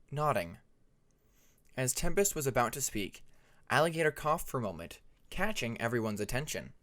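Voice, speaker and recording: A man's voice: medium pitch, a bit bright. A man reading a fantasy story aloud as an audiobook narrator, in a scripted narration style. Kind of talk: scripted fan fiction narration.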